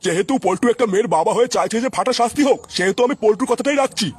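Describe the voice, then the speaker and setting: Man acting a high-pitched, rapid-fire cartoon school principal. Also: breathy edge. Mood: stern. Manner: scolding, authoritative. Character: stern, foul-mouthed male school principal in a cartoon. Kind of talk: exaggerated cartoon comedy dialogue. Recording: music behind the voice.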